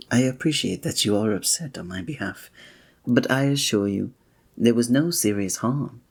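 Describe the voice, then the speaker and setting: A man playing a flirty, breathy innkeeper. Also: medium pitch, normal speed. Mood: amused. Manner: formal old-fashioned wording with chuckling asides. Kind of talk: ASMR roleplay.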